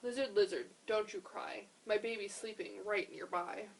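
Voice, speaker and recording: A woman's voice: mid-range voice, steady tone, normal speed, creaky, a little hiss or hum, lightly acted, low-quality recording. A woman voicing a protective mother in a storybook lullaby, chanting her pleas in rhythm. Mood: chanting, pleading. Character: protective mother shushing animals in lullaby tale.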